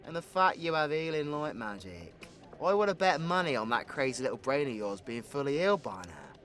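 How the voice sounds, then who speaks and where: A man's voice: on the high side, big pitch swings, fairly quick, a bit bright, soft background music. A British man playing a cocky, foul-mouthed supervillain in an audio roleplay, with a crude, playful, conversational swagger. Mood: curious, probing. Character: cocky, foul-mouthed male supervillain, orphaned, teasing his drinking partner. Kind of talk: audio roleplay addressed to the listener.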